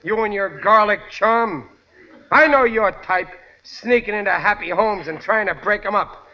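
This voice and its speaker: A man's voice: high-pitched, unhurried. A man playing a jealous middle-aged working-class husband and father in an old-time radio comedy, blunt and full of indignant bluster. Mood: angry. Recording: dull old radio recording.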